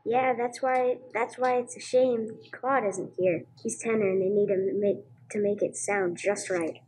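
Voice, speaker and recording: A woman's voice: medium pitch, fairly even intonation, normal speed, a little mellow, slight background noise, lightly acted. A woman playing simple, anxious hotel folk in an amateur radio play, speaking in a hesitant, plain-spoken way. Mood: worried, hesitant.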